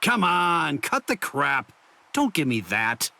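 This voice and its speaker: An actor playing a brash, hot-tempered young swordsman in an anime game dub, talking bluntly and gruffly. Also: on the high side, sing-song, slow, bright, forceful tone, full character voice. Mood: irritated, indignant.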